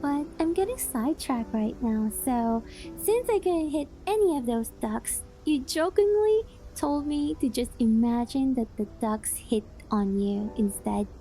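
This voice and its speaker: Girl doing a clingy kitsune wife asmr, high soft mellow voice, sweetly unhinged, slow talking. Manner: cutesy, clingy, affectionate, with breathy gasps and giggles. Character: doting, possessive female kitsune fox-spirit wife, sweetly unhinged. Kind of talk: ASMR roleplay, addressing the listener.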